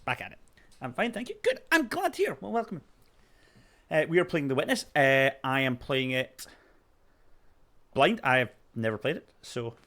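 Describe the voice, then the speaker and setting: A man's voice: medium pitch, sing-song, moderate pace, long pauses, bright, forceful tone. Scottish man chatting through a stream. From Scotland. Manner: chatty, reads chat aloud, coos at his puppy. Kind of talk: casual game stream chat.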